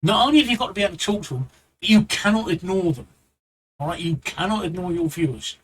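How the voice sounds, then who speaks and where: A man's voice: sits high, quick pace, husky. A man with a London accent giving blunt streaming advice live, repeating himself and rambling into asides. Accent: London English. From England.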